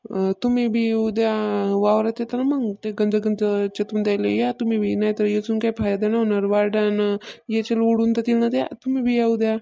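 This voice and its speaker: A woman's voice: fairly high pitch, level tone, a little fast, vocal fry, mellow. A woman playing a bossy, hardworking farmer's wife in a comedy cartoon, plain-spoken and chiding, with a rural Maharashtra Marathi accent. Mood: businesslike.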